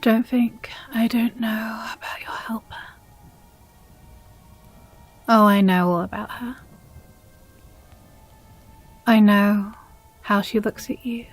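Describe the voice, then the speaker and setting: A woman playing a possessive, jealous demon girlfriend in an intimate audio roleplay, breathy and often whispering, with condescending sweetness. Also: fairly high pitch, fairly even intonation, soft background music. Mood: quietly menacing. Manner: slow, deliberate, sighing, condescendingly sweet.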